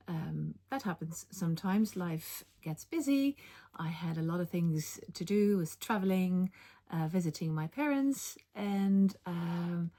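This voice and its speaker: A middle-aged woman with a light Dutch accent talks in a relaxed, chatty way, pausing on ums and correcting herself as she goes. Her voice sits fairly low and has a gravelly edge. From Netherlands. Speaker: knitting vlogger and linguist. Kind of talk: casual vlog chat.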